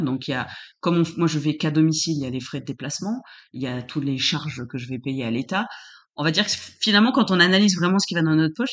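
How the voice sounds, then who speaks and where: Chatty woman, doula guest. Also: deep voice. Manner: searching for words, frequent restarts. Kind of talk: casual interview answers.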